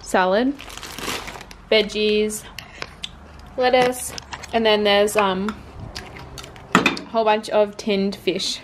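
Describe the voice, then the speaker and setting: A woman's voice: mid-range voice, level tone, takes it slowly, long pauses. A woman in her twenties with an Australian accent, chatting casually and slangily to a vlog camera. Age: 20s. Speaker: vlogger, sprinter. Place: small motel room, sometimes just outside it. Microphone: handheld vlog camera at arm's length. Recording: handheld camera audio with background noise.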